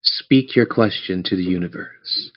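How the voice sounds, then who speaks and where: A man with a fairly low voice, heard through slightly muffled lo-fi audio. He is a game master narrating a tabletop adventure, setting scenes with measured, theatrical storytelling. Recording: dull lo-fi audio.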